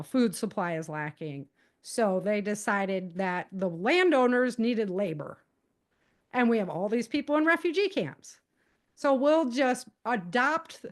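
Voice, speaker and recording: An American woman talks like an author on a podcast, recounting stories in a loose, conversational style. Her delivery is animated and informal, at a middle pitch for a woman. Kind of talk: casual spoken summary of own novels.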